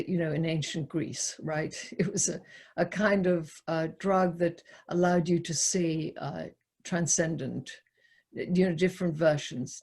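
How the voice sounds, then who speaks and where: Female discussion leader, husky and unhurried, thinking aloud haltingly as she explains poetry. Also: on the low side, a little mellow. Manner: halting, reflective, repeats words as she explains. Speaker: poetry lecturer leading a discussion. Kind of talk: poetry talk and open group discussion.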